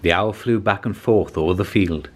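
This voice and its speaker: A man reading a children's story aloud as scripted narration, in an expressive storytelling manner. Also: low voice, moderate pace. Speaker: storybook reader.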